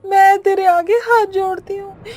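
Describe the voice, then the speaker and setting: A woman's voice: steady tone, a little slow, a little mellow. A woman voicing a terrified village mother in a horror cartoon, tearfully begging for her life. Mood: terrified pleading. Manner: pleading, tearful, high-pitched. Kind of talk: melodramatic horror cartoon voice acting.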